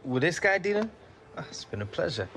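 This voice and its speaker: A man's voice: fairly high pitch, sing-song, a little fast, a bit bright, slight background noise. A man playing a cocky, playful young professional footballer with a London accent, bantering in slang in a video game. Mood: teasing.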